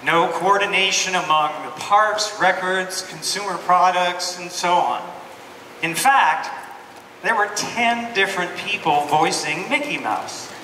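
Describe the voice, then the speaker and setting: Older male guest speaker, scripted talk, bright tone, distant in a reverberant venue. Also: sits high, a little slow. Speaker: guest speaker, department founder. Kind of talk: scripted stage speech. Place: large theatre stage. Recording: echoey hall from far off, faint noise.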